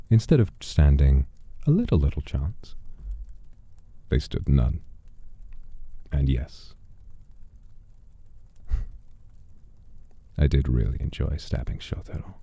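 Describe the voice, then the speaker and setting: Very deep, dark-voiced male storyteller. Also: dry recording. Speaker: streamer telling a story in character. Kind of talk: dramatic first-person storytelling.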